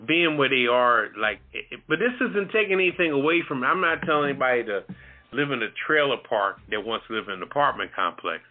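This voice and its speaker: A man's voice: mid-range voice, animated, quick pace. A man co-hosting a casual talk show discussion, posing rambling rhetorical questions. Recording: muffled, band-limited audio.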